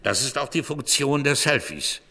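A man's voice: mid-range voice, animated, normal speed, bright, forceful tone, slightly rough. An actor playing an earnest male cultural critic who delivers a bleak monologue in a rhetorical, emphatic way, as in a radio drama. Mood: earnest, urgent. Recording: long hall reverb.

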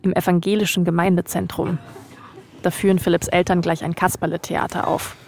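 Woman doing podcast storytelling, kinda low voice w some vocal fry. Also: level tone, fairly quick, a little mellow, a little hiss or hum. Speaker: podcast host and reporter. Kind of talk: scripted storytelling narration mixed with casual interview questions.

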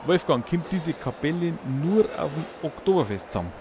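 A man with a Bavarian accent, a radio reporter narrating a feature and interviewing in a lively, folksy way. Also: on the high side, moderate pace, background noise.

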